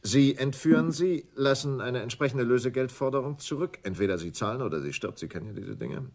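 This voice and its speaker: An actor playing a smooth, scheming English stockbroker in a German radio crime drama, speaking in a deliberate, condescending way. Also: male voice, mid-range voice, expressive, a little mellow. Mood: suave, commanding.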